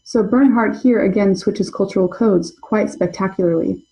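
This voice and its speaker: A young adult woman presenting an academic paper in a measured, scholarly way. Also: medium pitch, gravelly, mellow, poor audio. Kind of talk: reading a prepared conference paper.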